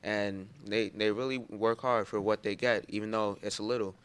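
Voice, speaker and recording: A man's voice: fairly low pitch, fairly even intonation, normal speed, a bit bright, smooth. A young man, a student, giving an earnest, casual opinion in a group discussion. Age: young adult.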